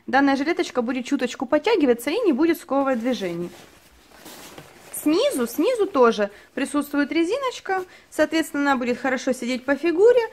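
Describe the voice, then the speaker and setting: Woman host, high voice, chatty and detailed home product review. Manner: chatty, thorough, many fillers and diminutives. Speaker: channel host reviewing clothing. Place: indoors at a table, filming only her hands.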